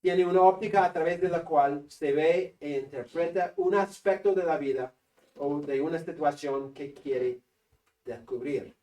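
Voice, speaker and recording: A man with a northern Peruvian Spanish accent giving a seminary class lecture, joking with students and pausing on fillers. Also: fairly high pitch, mellow. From Peru. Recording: echoey classroom from a distance.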